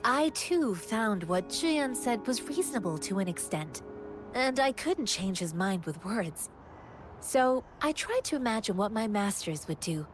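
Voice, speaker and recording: Actress as a courteous, earnest young martial student for a game; mid-pitched, reflective and formal, over music. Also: female voice, animated, a little slow, natural voice. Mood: solemn, reflective. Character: earnest, polite young female martial disciple. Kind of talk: video game dialogue acting. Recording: background music.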